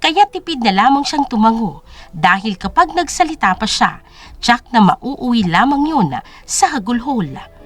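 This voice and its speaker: A woman's voice: a little high, big pitch swings, a little slow, no room sound. A woman narrating a scripted drama story in a dramatic storytelling style. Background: music.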